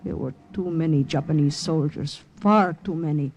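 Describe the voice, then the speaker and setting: An actress playing a tense young woman spy, dramatic and deliberate, in old-time radio drama style. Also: sits low, a little mellow, a little hiss or hum. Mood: grave, suspenseful. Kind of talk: 1940s-50s radio drama acting and first-person narration.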